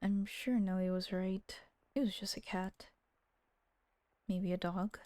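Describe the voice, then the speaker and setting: A woman voicing a fretful young master of a gothic house in a visual novel, brooding to himself in a breathy half-whisper. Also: mid-range voice, flat delivery, mellow, no room sound, close to an everyday voice. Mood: uneasy, hushed. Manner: slow, brooding self-talk.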